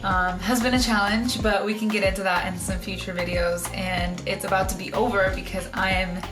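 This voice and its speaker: A young woman from Colombia, a co-host and photographer, chatting in an upbeat, lively way. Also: mid-range voice, fairly quick, echoey room, over music. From Bogota, Colombia. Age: young adult. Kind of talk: casual couple chat.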